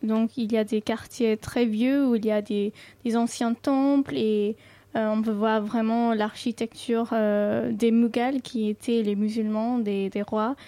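A woman's voice: fairly high pitch, fairly even intonation, unhurried, warm tone, no room sound. A nineteen-year-old woman from India whose first language is Sindhi, answering interview questions hesitantly with many fillers. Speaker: guest.

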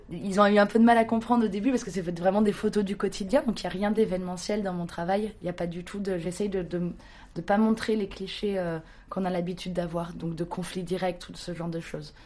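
A woman's voice: on the low side, steady tone, fairly quick, darkish tone. A young woman, a photographer talking about her work in an interview, hesitant with many restarts. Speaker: photographer guest. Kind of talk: casual interview talk.

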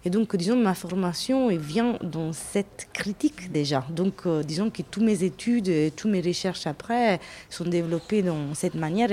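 Lower-pitched Italian-accented woman. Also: warm tone. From Italy. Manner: halting, searching for words. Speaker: anthropologist, panel guest. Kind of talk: spoken panel discussion, explanatory.

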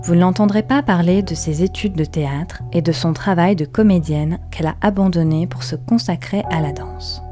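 Woman host reading a scripted intro, lowish voice, music playing behind her. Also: normal speed, hardly any pauses, warm tone. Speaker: podcast presenter. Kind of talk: scripted narration introducing a guest.

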